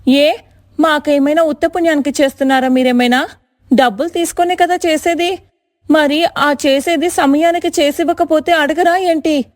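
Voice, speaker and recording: Woman acting high-pitched scolding village woman. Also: fairly even intonation, normal speed, no room sound, studio quality, natural voice. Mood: scornful scolding. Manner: sarcastic, scolding. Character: angry, taunting village woman customer scolding a tailor. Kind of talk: animated moral story dialogue.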